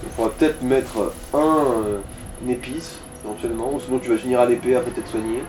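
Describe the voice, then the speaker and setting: A man's voice: medium pitch, steady tone, a little fast, over music, poor audio. A man chatting casually as co-commentator on a video game, advising the player in slangy, mildly crude language. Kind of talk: casual gaming chat.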